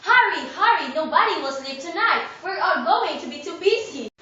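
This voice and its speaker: An excited father of the bride, played by a woman, fires off hurried orders in a very high, bright voice. The mic sits far away in a roomy, echoing space, and the sound is dull and slightly noisy. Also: exaggerated voice. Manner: eager, bustling orders.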